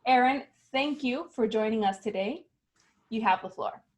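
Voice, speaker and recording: A woman hosts an online session in a friendly way, often pausing on fillers. Her voice sits a little high. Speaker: moderator. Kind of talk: event moderation.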